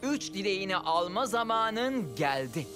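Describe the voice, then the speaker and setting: Calm wise girl: even, thoughtful, unhurried. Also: mid-range voice, over music. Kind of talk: dubbed cartoon acting.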